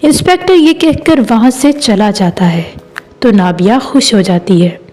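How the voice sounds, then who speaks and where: A woman's voice: fairly high pitch, a little slow, darkish tone. A woman narrating a scripted story as a storyteller. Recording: music behind the voice. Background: background music.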